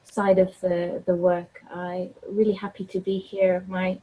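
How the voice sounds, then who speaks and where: A woman's voice: lowish voice, flat delivery, unhurried, highs cut off. A woman from Zimbabwe introducing herself as a panelist on a video call, friendly and slightly hesitant. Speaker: panelist, health official.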